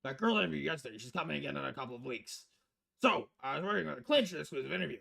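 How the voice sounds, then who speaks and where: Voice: medium pitch, animated, racing, creaky, fairly bright tone, pitched below the performer's own voice, full character voice. A streamer voicing a blunt, pompous, middle-aged male newspaper editor in a visual novel game. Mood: boastful.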